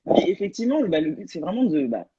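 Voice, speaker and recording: A woman's voice: fairly low pitch, sing-song, fast, mellow, husky, highs cut off. A woman podcast host chatting casually and enthusiastically, laughing easily. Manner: enthusiastic, giggly. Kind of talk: casual chat on a live stream.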